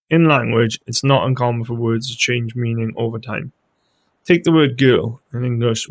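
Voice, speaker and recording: Gravelly-voiced man narrating. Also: mid-range voice. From Wales. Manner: measured, explanatory, tentative about theories. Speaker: host of a history channel. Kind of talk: scripted voice-over narration.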